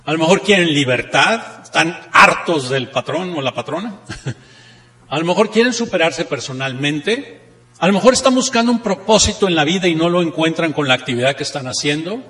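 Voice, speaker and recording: A man's voice: fairly high pitch, lively intonation, normal speed, pushed and bright. A man with a northern Mexican accent giving a motivational seminar talk, posing rhetorical questions and repeating key phrases with emphasis. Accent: northern Mexican Spanish. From Monterrey, Mexico. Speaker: seminar speaker, network marketing trainer. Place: seminar room, speaking to an audience. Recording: echoey hall with long reverb.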